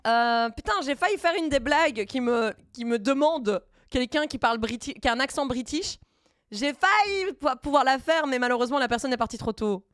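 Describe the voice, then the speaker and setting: Bright-toned woman coding on stream. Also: high voice, expressive, no echo, clean studio sound. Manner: thinks aloud, halting, many fillers and restarts; mixes in English coding terms. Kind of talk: casual live-stream chat while coding.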